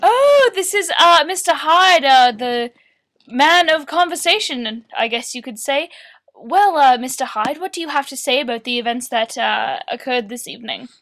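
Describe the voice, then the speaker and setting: A polite, probing radio news host acted out by a woman in an amateur radio play. Her voice is high, her manner formal and courteous, turning hesitant whenever she is taken aback. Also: lively intonation, normal speed, fairly bright tone. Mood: flustered.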